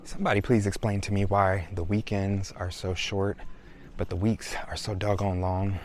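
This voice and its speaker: A man's voice: low-pitched, normal speed, slight background noise. A man, chatting casually to his viewers in a vlog. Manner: chatty, relaxed, addresses viewers as y'all. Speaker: vlogger. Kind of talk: casual vlog talk to camera.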